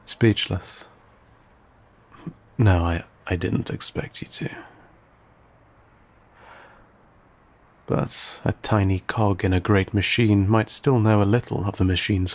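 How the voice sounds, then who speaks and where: A man playing an old vampire in an intimate audio roleplay, courteous and wry, with a husky, breathy voice and archaic, theatrical phrasing. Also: fairly low pitch, a little mellow. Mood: searching, wry. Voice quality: husky, gravelly, often dropping to breathy whisper. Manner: archaic, formal, theatrical, teasing, halting.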